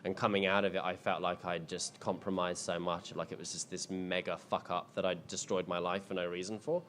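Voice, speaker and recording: Man, 30s, low voice, hesitant, lots of ums, casual q&a talk. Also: little pitch movement, brisk, fairly bright tone, a little hiss or hum. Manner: rambling, hesitant, many ums and restarts. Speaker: filmmaker answering audience questions. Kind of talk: casual panel Q&A. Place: indoor screening event.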